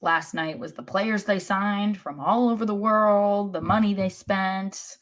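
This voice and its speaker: A woman's voice: mid-range voice, steady tone, a little slow. An American woman co-hosting a sports podcast in casual chat, opinionated and wry, with emphatic turns.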